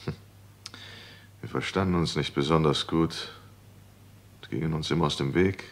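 A grave, guilt-ridden gentleman in a vintage radio crime play. His voice is extremely deep and rough with creak. He speaks in formal, measured phrases, with desperate pride. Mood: restrained, polite.

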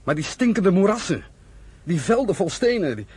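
Young migrant brother in an old radio play, emotional, raspy voice, kinda high for a man. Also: big pitch swings, normal speed, darkish tone, dry recording, exaggerated voice. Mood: bitter, disillusioned. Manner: impassioned, exclaiming. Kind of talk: Dutch radio play acting.

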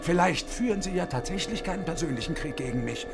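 Radio drama for domineering, sardonic hostage-taker in his fifties: highish male voice, very animated, theatrical. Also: fast talker, gravelly, over music. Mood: defiant, bitter. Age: 50s. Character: bitter, sarcastic unemployed engineer turned armed hostage-taker.

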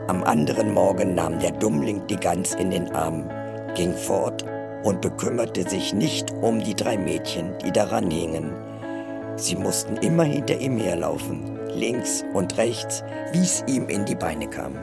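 A man reads a fairy tale aloud in a deep, gravelly voice. Strong music runs behind his storytelling. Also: expressive, measured pace.

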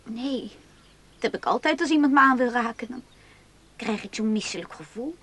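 A woman's voice: a little high, lively intonation, moderate pace, sharp tone, slight background noise. An actress in a radio play voicing a shy, anxious young woman who speaks in hesitant, short answers. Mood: uneasy.

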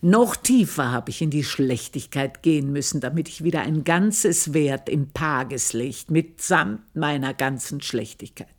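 An actress with an Austrian accent playing a guilt-ridden, vengeful housemaid in a radio play monologue, husky and breathy, confessing with brooding intensity. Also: female voice, deep voice, animated, measured pace, few pauses. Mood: anguished, intense. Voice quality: husky, breathy, often dropping to a whisper.

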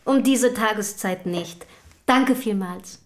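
An actress in a German historical radio drama playing an earnest, outspoken early feminist from the city, speaking formally and rhetorically. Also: female voice, medium pitch, slow, natural voice. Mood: formal, polite.

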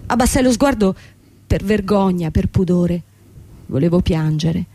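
An actress in a radio play playing a young company boss, bankrupt, desperate and defiant, telling her story with halting, repeated words. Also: on the low side, animated, mellow. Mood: ashamed, subdued. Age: young adult.

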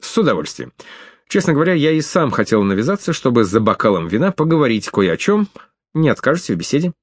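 A man's voice: mid-range voice, sing-song, fast talker, no room sound, studio quality. A man reading the lines of a polite, gallant man in his thirties in an audiobook. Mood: courteous. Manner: polite, smooth, smiling. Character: gallant man of thirty-five, courteous host.

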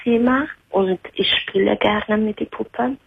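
A woman's voice: medium pitch, a little slow, creaky, a little hiss or hum. Italian woman calling in, hesitant German. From Italy. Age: 30s. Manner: hesitant broken German, many fillers. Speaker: caller. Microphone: phone line. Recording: muffled phone line on radio.

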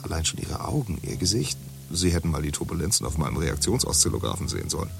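A man's voice: rumbling low, big pitch swings, fast talker, gravelly, music in the background, full character voice. An actor playing a chatty, leering man in a radio play, gushing about women.

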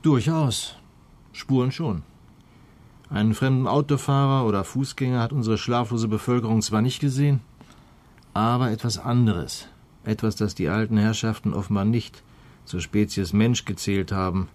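An actor playing a wry, sardonic police detective with a measured delivery in a German crime radio play. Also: male voice, fairly low pitch, darkish tone, natural voice.

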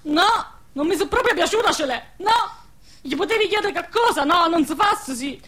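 A woman playing a poor, devoted village wife in a wartime radio drama, with a central Italian dialect accent from southern Lazio and an earnest, expressive manner. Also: very high-pitched, normal speed. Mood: lively, familiar. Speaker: radio play actress.

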